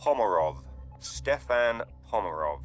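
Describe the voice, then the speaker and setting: A man's voice: fairly low pitch, big pitch swings, a little slow, soft background music. A man with a British accent playing a cool, commanding secret agent in a video game, speaking in clipped, controlled phrases. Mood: businesslike. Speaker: actor in a spy action game. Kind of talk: cinematic video game acting.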